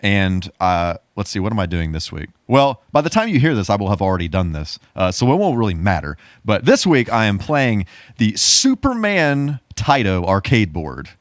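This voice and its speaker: A man with a fairly low voice hosts a casual podcast. His delivery is playful and a bit goofy, swinging up and down in an animated way. The sound is dry and close. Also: normal speed, no echo. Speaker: podcast host.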